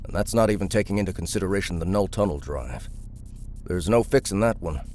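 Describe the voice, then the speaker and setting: Gruff, deep, animated mechanic. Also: male voice, brisk, soft background music. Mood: offhand appraisal. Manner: colloquial, blunt, offhand. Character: Bolko, gruff confident mechanic man, casual and wry. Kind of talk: audiobook drama acting.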